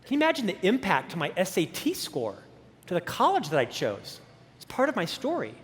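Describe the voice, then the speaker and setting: A man in his fifties with a stutter and a high voice tells his own story at a conference, animated and self-deprecating. His words ring out with a long hall reverb from a big stage. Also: fairly quick. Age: 50s. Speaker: keynote speaker. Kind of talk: motivational conference talk.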